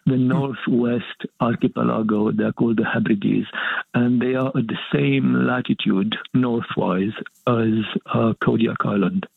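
A man's voice: mid-range voice, steady tone, a little slow, vocal fry, husky, dry recording. A man from Romania, a monastic guest speaking reflectively and often laughing as he talks. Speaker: monastic guest, pilgrimage leader. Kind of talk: casual interview conversation. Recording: tinny phone-like line, dry.

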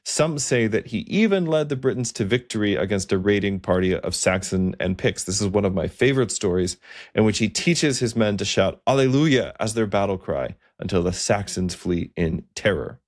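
A man hosting a history podcast, telling old saints' stories in a measured way, with frequent fillers and restarts. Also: fairly low pitch, lively intonation. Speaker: podcast host. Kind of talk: history podcast narration, unscripted.

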